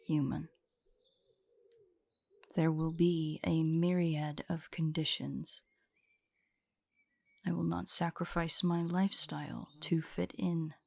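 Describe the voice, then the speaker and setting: A woman playing an ancient, guarded female drider in an intimate audio roleplay, speaking in a formal, measured, haughty way with a husky, breathy voice. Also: sits low, darkish tone, heavily acted.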